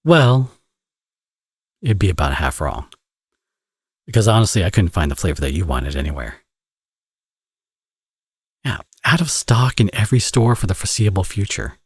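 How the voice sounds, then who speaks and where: A man's voice: on the low side, very animated, normal speed, long pauses, slightly rough, no room sound, clean studio sound, exaggerated voice. A man playing a flirty, smug villain who dotes as a father, in a romantic ASMR audio roleplay with playful, conversational delivery. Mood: earnest, persuasive. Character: teasing, flirty male villain, now a doting father.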